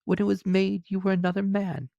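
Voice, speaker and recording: A man voicing a gentle, sorrowful young woman in a storybook, speaking softly and earnestly in measured phrases. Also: high-pitched, level tone, vocal fry, no echo, muffled recording, pitched above the performer's own voice. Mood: gentle, grieving.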